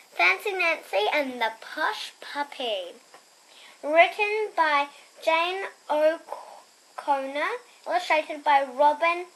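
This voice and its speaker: A young girl reading a picture book aloud expressively, with chatty, quieter asides to her listeners. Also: sits high, rough recording. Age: child. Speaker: storybook reader and host.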